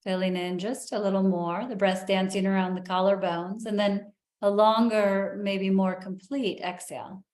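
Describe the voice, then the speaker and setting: A woman leading a guided breathing meditation in a soft, soothing way, with long pauses. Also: medium pitch, fairly even intonation, echoey room.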